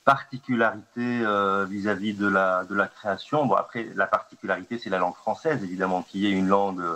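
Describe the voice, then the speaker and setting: Deep-voiced man, hesitant. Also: steady tone, fairly quick, sharp tone. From Lebanon. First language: Arabic. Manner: hesitant, many fillers and restarts. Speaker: artist, conference guest. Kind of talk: answering questions at a conference discussion.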